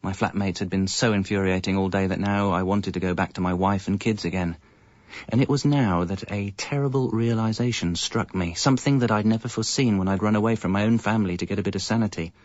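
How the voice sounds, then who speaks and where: A man's voice: fairly low pitch, quick pace, no echo, muffled recording, lightly acted. An actor playing a harried husband and father with an English accent, narrating a radio comedy in a wry way, stammering when flustered. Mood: wry, rueful. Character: harried, exasperated husband and father telling his story. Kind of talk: radio comedy first-person narration and dialogue.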